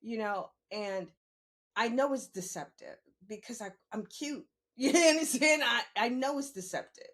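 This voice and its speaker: A woman's voice: on the high side, a little slow, a bit bright. A woman, talking candidly and emphatically in a conversational monologue, repeating phrases for emphasis. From New York City, born in the Bronx and raised in Queens. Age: 50s. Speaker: podcast host.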